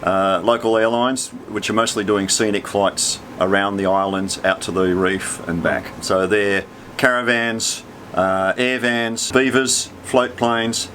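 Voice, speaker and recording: Australian man, sixties, slightly deep voice, laconic, casual explanations, light background hum. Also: steady tone, unhurried, fairly bright tone. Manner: laconic, matter-of-fact. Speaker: air traffic controller.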